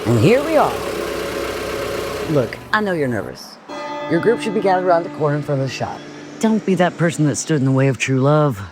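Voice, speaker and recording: A woman's voice: sits low, very animated, brisk, over music. An actress in an audio drama playing a chatty, encouraging female friend who works as a tour guide. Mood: breezy.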